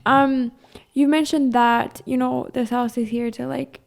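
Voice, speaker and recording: Female podcast co-host, high voice, casual and teasing, asks the questions, recorded in a kitchen. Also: fairly even intonation, measured pace, vocal fry. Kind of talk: casual chat. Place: home kitchen.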